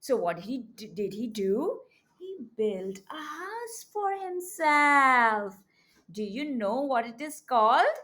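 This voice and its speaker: A woman reading a story aloud to young children in an animated, teacherly way, often prompting them with questions. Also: sits high, slow pace.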